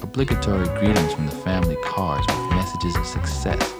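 Man, low pitch, measured literary recitation, radio drama narrator, prominent plucked guitar music.

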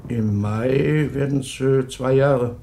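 An actor playing a taciturn, polite country innkeeper in a radio drama, laconic and hesitant. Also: male voice, medium pitch, slow pace. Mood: hesitant, apologetic. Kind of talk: German radio drama acting. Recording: very noisy radio play recording.

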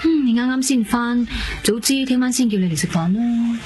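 A woman's voice: fairly high pitch, brisk, lightly acted. An actress playing an earnest, determined young woman in naturalistic Hong Kong Cantonese radio drama dialogue. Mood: warm, teasing. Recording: music behind the dialogue.

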